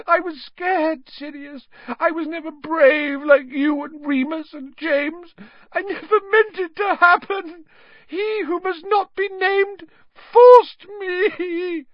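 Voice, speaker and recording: A man voicing a cringing, cowardly male traitor in a high, whining, tearful, gasping voice, pleading desperately in an audiobook story. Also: dry recording, muffled recording. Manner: grovelling, repetitive, desperate pleading.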